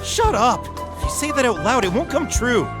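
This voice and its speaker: A woman's voice: on the high side, big pitch swings, moderate pace, over music. A woman voicing a giggly, teasing young student in a game fan dub.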